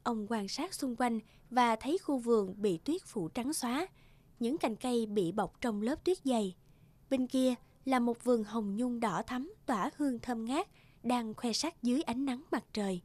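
A woman's voice: on the high side, unhurried, darkish tone, no echo. A woman narrating a scripted fairy tale as the storyteller.

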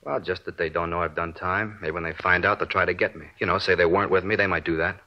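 Fast-talking, defiant crook. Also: male voice, low voice, fairly even intonation. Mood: uneasy, evasive. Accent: American. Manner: defensive, slangy. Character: defiant, shifty male ex-convict suspect. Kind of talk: 1950s radio crime drama acting. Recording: dull vintage 1950s radio recording.

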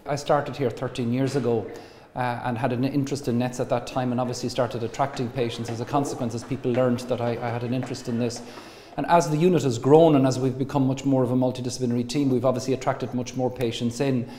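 A man in his fifties, a consultant surgeon explaining his work in an interview, halting with many pauses, recorded on a lapel mic in a hospital room. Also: medium pitch, fairly even intonation, warm tone, slight background noise. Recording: echoey room with long reverb.

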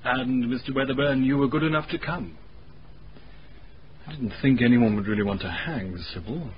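An actor playing a flippant, well-connected young English gentleman in a radio drama. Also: mid-range voice, normal speed, close to an everyday voice. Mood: breezy, urgent. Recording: muffled radio recording, faint noise.

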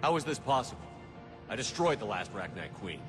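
An actor playing a calm, determined human military commander giving clipped orders in a game cutscene. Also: male voice, on the low side, a little fast, long reverb tail, over loud music. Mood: steady command. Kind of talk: video game cutscene acting.

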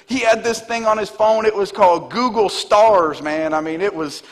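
A man in his thirties, a pastor, preaching an animated sermon on a church stage. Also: high-pitched, normal speed. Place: large church stage, indoors. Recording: long hall reverb.

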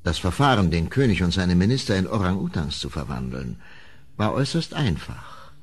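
An actor narrating a horror tale as a grave storyteller in a vintage German radio play. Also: male voice, sits low, big pitch swings, normal speed, slightly rough, exaggerated voice. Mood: measured.

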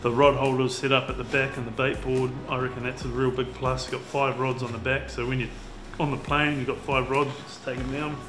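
A young man with a New Zealand accent, chatting casually about a fishing trip, relaxed and full of fillers. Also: mid-range voice, brisk, few pauses. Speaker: fisherman. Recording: roomy sound with music behind.

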